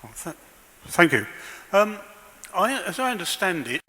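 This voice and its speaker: A man in the audience asking a hesitant question, halting with many fillers. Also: sits high, sing-song, sharp tone, big-room sound.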